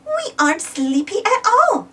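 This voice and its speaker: Lady doing a playful little piglet girl from a storybook, really high and bouncy, bit off the mic. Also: big pitch swings, a little slow, sharp tone, far from the mic, full character voice. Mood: insistent.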